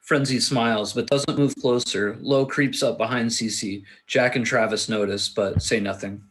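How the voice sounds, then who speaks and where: A man of average pitch narrates a film script at a table read. His delivery is casual and a little stumbling, reading stage directions and scene headings. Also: steady tone, normal speed, a bit bright, low-quality recording. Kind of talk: reading screenplay action lines.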